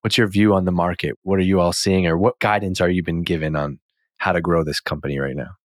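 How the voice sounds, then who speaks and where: A man with a low voice hosts an interview podcast. The sound is dry, and he puts his questions and comments casually, as in an ordinary conversation. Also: steady tone, quick pace, no room sound.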